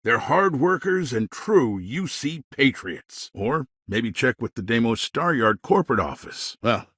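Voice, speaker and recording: A man playing a smooth-talking, self-important middle-aged colonial governor in a video game, with polished politician's phrasing and a husky voice. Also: mid-range voice, big pitch swings, a little slow, a bit bright, no room sound, clean studio sound, exaggerated voice. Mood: smooth, politicking. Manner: polished politician's talk, veiled threats. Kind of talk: game dialogue voice lines.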